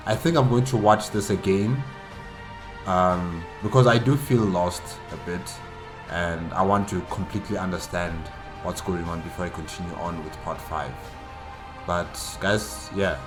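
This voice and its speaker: Very deep-voiced man, halting reaction commentary. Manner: halting, repetitive, emphatic about doubts. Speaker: reaction channel host. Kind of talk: casual solo reaction commentary.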